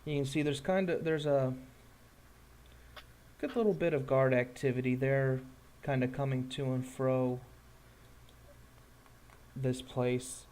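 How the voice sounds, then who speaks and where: Male game master, mid-pitched, unhurried and halting, describing scenes off the cuff. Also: fairly even intonation, darkish tone. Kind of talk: improvised narration of a tabletop roleplaying game.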